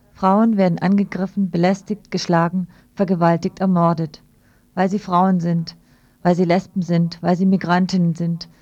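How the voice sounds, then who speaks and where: A woman's voice: on the low side, mellow, dry recording, dull audio. A woman radio presenter reading scripted news items in a studio.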